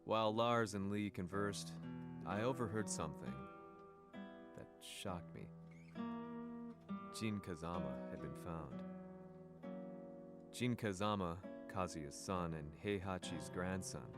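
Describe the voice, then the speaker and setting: A man playing a brooding, vengeful journalist, narrating his story in a solemn, measured, scripted way for a video game. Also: deep voice. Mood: solemn, bitter. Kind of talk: scripted dramatic first-person narration. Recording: loud guitar music behind.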